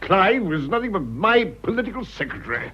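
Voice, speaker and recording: An actor playing an irascible, vain aging British politician in a radio drama, blustering, indignant and pompous. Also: male voice, high voice, sing-song, a little fast, bright, forceful tone, breathy edge, exaggerated voice. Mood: scornful. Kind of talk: radio drama acting of a stage comedy. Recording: dull lo-fi radio drama recording.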